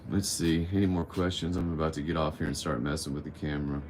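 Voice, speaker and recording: A man's voice: very deep voice, steady tone, fast talker, background noise. A man around fifty hosting a casual live-stream Q&A, candid and blunt, rambling and swearing casually. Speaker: livestream host answering viewer questions.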